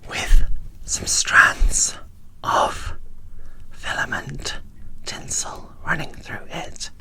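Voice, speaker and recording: A woman whispering in a breathy, barely voiced ASMR style, presenting dolls with gentle, deliberate phrasing into a close microphone. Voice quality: breathy whisper with little voicing. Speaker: doll collector presenting an unboxing.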